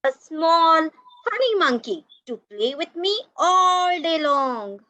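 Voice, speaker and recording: An eager little boy pleads for a pet, full of hope, as a woman reads his lines. The voice is very high and bright and moves a lot, delivered slowly, with a dry, close sound. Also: very animated, dry recording, full character voice. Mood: pleading. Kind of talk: reader's theatre reading.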